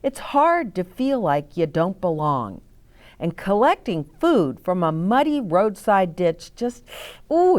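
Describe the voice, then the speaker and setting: Female storytime reader for young children: lower voice, lively melody, deliberate explanatory delivery. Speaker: storytime host reading picture books aloud. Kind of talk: expressive read-aloud for children with asides to listeners.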